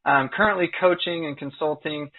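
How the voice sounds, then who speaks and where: A man's voice: a little high, a little fast. A man hosting a podcast, enthusiastic and upbeat as he introduces and questions his guest. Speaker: podcast host. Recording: dull lo-fi sound.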